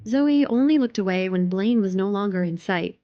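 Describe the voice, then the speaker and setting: A woman's voice: medium pitch, expressive, moderate pace, no echo, lo-fi sound. A woman narrating an audio drama from a script as its storyteller.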